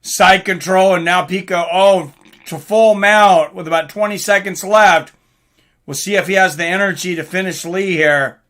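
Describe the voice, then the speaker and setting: A man hosting a live fight stream, excitable and informal, mixing commentary with casual chat to viewers. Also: sits high, normal speed. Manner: excitable, informal, swearing, reacting aloud.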